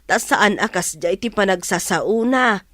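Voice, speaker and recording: A woman with an Ilocano accent playing a gentle, consoling older mother in an audio drama. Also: medium pitch, moderate pace, no room sound, close to an everyday voice. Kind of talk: Filipino audio drama acting.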